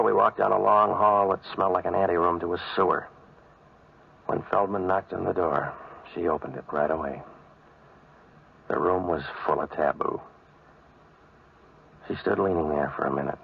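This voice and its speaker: An actor playing a cynical, wisecracking American private eye in a 1940s hardboiled radio drama, terse and deadpan. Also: male voice, deep voice, creaky, breathy edge, heavily acted. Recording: dull vintage radio recording.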